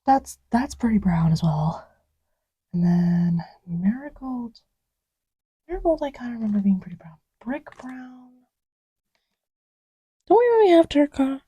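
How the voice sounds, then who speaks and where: A woman playing an affectionate, scatterbrained girlfriend in a casual roleplay audio, soft-spoken and often whispery, rambling playfully and self-deprecatingly. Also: fairly high pitch, lively intonation, unhurried, pauses often, breathy edge, dry recording, exaggerated voice, rough recording. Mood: absorbed, playful. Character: affectionate, scatterbrained girlfriend testing markers on her boyfriend's lap. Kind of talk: casual, improvised-sounding girlfriend roleplay audio with ASMR feel.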